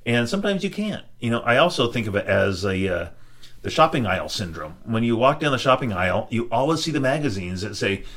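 Middle-aged male podcast host, mid-pitched, casual and thoughtful, stops and restarts his sentences. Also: big pitch swings, fairly quick, a bit bright. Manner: reflective, frequent restarts and "you know". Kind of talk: casual conversation.

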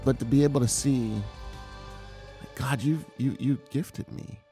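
A man giving an earnest, confessional sermon testimony, often halting and repeating words as he speaks. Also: medium pitch, fairly even intonation, mellow, faint music behind.

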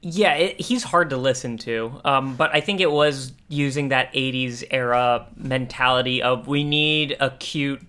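A man co-hosting a podcast, thinking aloud in halting, casual commentary on pop culture. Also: mid-range voice, bright, forceful tone. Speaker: podcast co-host.

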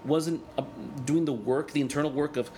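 A man in his thirties whose first language is Spanish, speaking as a guest in a candid interview, earnest and reflective, often halting and restarting. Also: a little high, steady tone, mellow, a little hiss or hum. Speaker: guest, cartoonist and organizer.

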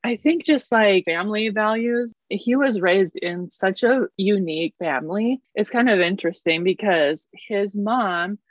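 A woman's voice: medium pitch, unhurried, hardly any pauses, dry recording, dull audio. A woman in her thirties from Canada, chatting as an interview guest with a self-deprecating, laughing manner. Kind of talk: casual interview chat.

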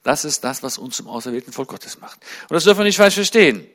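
A man in his forties giving a sermon-style talk to a church congregation. He chats in a friendly way, then grows fervent and climbs high and loud on his main points. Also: lively intonation, quick pace. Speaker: guest speaker at a church.

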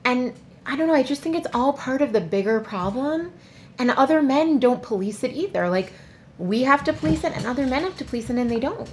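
A woman co-hosting a podcast in casual chat, animated, swearing and mocking people in quoted voices. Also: sits high, brisk, a little hiss or hum. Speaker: podcast co-host.

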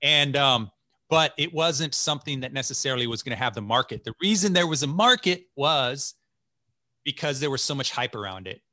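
Bright-voiced enthusiastic podcast host, forties. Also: male voice, mid-range voice, expressive, moderate pace, dry recording, studio quality. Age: 40s. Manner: chatty, enthusiastic interviewer. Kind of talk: casual interview chat. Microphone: video call.

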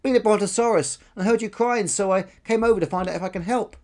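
A huge but kindly storybook brontosaurus with a small, high voice, put on by a man. He is helpful and patient, explaining things in a friendly way. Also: fast talker, darkish tone, close to an everyday voice.

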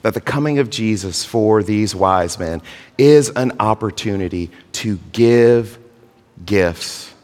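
A man preaching a sermon as pastor, earnest, with drawn-out phrasing. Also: fairly low pitch, a little mellow. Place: church sanctuary. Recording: long hall reverb.

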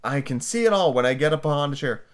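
A man's voice: fairly high pitch, quick pace, close to an everyday voice. A man voicing a prim, chatty little girl who scolds and lectures her kitten, in a raised sing-song voice. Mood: musing. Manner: fussy, lecturing, lively and whimsical. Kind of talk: amateur reading of a children's book.

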